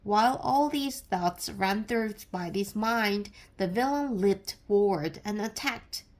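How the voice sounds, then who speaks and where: Slow, deliberate woman reading a storybook. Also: mid-range voice, darkish tone. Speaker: storybook reader. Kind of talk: scripted children's read-aloud narration.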